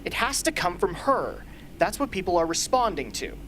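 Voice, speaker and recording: A woman playing a brisk, sardonic rebel strategist in an amateur radio drama, speaking emphatically. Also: on the low side, big pitch swings, a bit bright, slight background noise. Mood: insistent.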